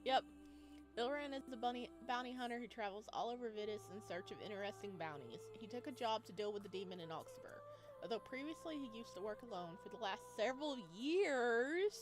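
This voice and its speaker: Female game streamer, higher-pitched, giggly and reactive, visual novel commentary over soft music. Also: lively intonation, fairly quick. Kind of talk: visual novel playthrough commentary, reading text aloud.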